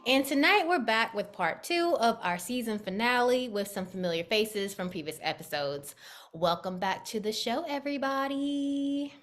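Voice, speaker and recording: A woman's voice: fairly high pitch, lively intonation, normal speed, fairly bright tone, soft background music. A woman hosting a podcast, leading a casual group talk on dating and laughing easily. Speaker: podcast host. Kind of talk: casual panel talk, guiding questions.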